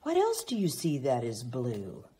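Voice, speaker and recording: A woman reading a picture book aloud expressively to young children, prompting them with teacherly questions and pauses. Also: rumbling low, wildly swooping pitch, warm tone, slightly rough. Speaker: children's read-aloud reader.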